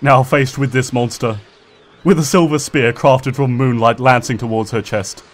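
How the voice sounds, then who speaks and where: A man's voice: medium pitch, steady tone, moderate pace. A man reading fiction aloud as a narrator, in a dramatic scripted storytelling style. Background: ambient sound effects.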